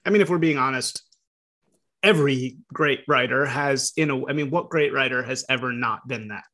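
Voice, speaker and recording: A man from Chicago hosting a casual interview podcast, talking in a rambling, animated way with many fillers and restarts. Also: medium pitch, normal speed. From Chicago, US Midwest. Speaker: podcast host.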